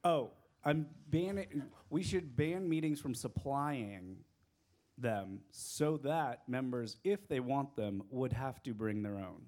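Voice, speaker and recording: A man speaking from the floor of a meeting as a participant, haltingly searching for formal wording. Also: medium pitch, darkish tone. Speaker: meeting participant making a motion.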